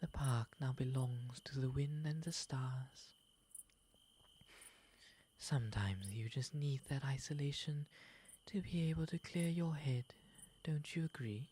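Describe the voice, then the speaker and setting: A woman voicing a gentle, refined male owl demon in an ASMR roleplay, softly and breathily, mostly whispering, with formal, courteous phrasing. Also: very deep voice, a little slow, long pauses, husky, full character voice. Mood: wistful, calm.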